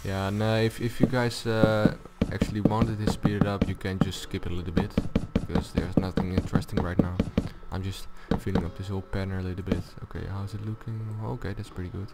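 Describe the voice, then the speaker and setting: A man with a low voice giving a casual, unscripted gaming tutorial, thinking aloud as he goes. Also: little pitch movement, a little fast, few pauses, heavy background noise. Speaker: video tutorial host. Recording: music behind the voice. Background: loud music.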